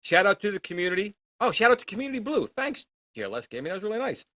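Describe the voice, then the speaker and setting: A man co-hosting a retro gaming livestream, chatting in an informal, animated way. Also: high voice, racing, no echo. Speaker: co-host of a retro gaming show. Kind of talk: casual livestream chat.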